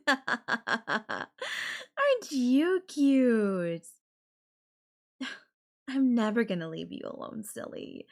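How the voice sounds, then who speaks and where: A woman playing an obsessive, possessive girlfriend in an intimate roleplay audio drama, sweet but menacing, with breathy cooing. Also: a little high, big pitch swings, drawn-out words, pauses often, a little mellow, exaggerated voice. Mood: sweet, clingy. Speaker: voice actor.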